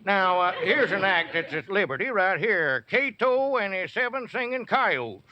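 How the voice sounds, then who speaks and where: An actor playing a pompous, smooth-talking small-town squire and swindler in an old-time radio comedy, wheedling and wordy. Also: male voice, sits high, lively intonation, moderate pace. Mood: grand salesmanship. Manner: wheedling, wordy, grandiose showman's patter. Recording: muffled old radio recording with hiss.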